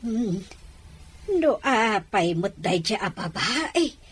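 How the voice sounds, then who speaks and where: A woman playing an attentive household nanny, deferential and expressive, in an Ilocano audio drama. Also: mid-range voice, slow pace, slightly rough, exaggerated voice. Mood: concerned, admiring. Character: attentive household nanny addressing her mistress.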